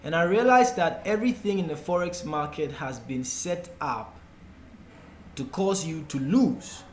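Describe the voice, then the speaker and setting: A man with a Ghanaian accent giving an unscripted explanatory tutorial in a deliberate manner, in short broken phrases. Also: fairly high pitch, animated. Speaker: forex trading tutor. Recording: echoey room with faint background noise.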